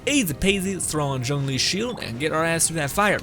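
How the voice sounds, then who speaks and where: A man's voice: on the high side, expressive, a little fast. A young man giving casual gameplay commentary as a streamer, rambling, interrupting himself and swearing casually. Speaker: game streamer. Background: game music.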